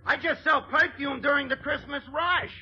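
Shrill, brash comic store clerk. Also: male voice, steady tone, moderate pace, gravelly, full character voice. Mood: salesman patter. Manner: loud, brash comic delivery. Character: wisecracking, pushy male department store perfume clerk. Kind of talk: 1940s radio comedy acting. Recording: dull vintage radio recording, audience behind.

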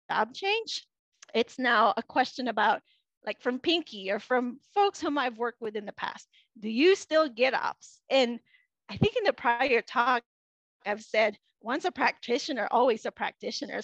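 A woman in her thirties speaks in a high voice, giving an earnest, explanatory keynote over slides. She often restarts her phrases. The sound is dull and lo-fi, as from a headset on a video call, with a dry room. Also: fairly bright tone, no echo. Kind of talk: conference talk over slides.

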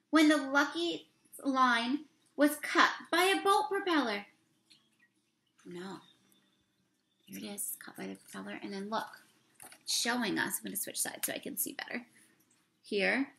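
Young female storyteller for kids' picture book read-aloud; raised pitch, noticeable fry, expressive and questioning delivery. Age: young adult. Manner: expressive, drawn-out words, asks the listeners questions. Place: small room indoors.

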